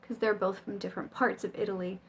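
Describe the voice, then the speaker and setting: A woman's voice: medium pitch, flat delivery, fast, slightly rough. A middle-aged American woman chatting casually to camera about learning Chinese, rambling and self-deprecating, with frequent ums and little laughs. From United States.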